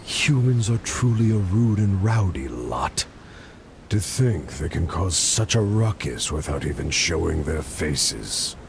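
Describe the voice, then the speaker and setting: An actor playing a lofty, disdainful dragon in a game, speaking in a pompous, formal, gravelly way. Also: male voice, low voice, big pitch swings, a little hiss or hum, full character voice. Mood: haughty disdain. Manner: pompous, deliberate, formal diction. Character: lofty, disdainful enlightened dragon, male, theatrically grand. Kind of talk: theatrical stage-play game acting.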